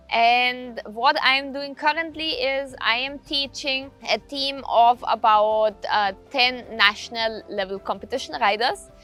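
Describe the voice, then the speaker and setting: A woman in her thirties from Austria with an Austrian accent, a riding instructor speaking in a measured way in a documentary interview. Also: a little high, sharp tone. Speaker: riding instructor and leadership coach.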